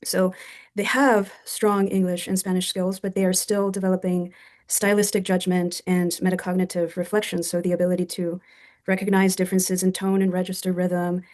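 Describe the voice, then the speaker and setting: A woman's voice: fairly low pitch, little pitch movement, normal speed, a little mellow. A woman community college teacher joining by video call, carefully reading a question from her notes.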